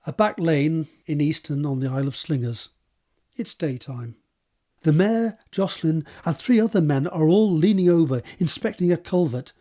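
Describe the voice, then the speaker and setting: A male narrator with a fairly high but mellow, soft-edged voice reads scripted scene directions for an audio drama. His delivery is measured and descriptive, over music.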